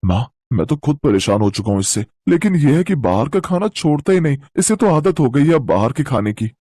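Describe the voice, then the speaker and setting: A man plays a tired father in a family cartoon. The dad is middle-aged and mild, with a husky, lower-set voice in a dry recording. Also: very animated, fairly quick, mellow, no room sound. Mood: weary.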